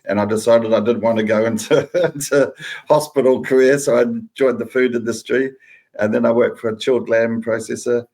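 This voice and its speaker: A man's voice: medium pitch, steady tone, a little fast, a little mellow. A middle-aged man with a New Zealand accent, a guest talking through his career in a matter-of-fact way. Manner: matter-of-fact, lists things steadily. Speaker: guest, food safety professional. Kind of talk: casual interview.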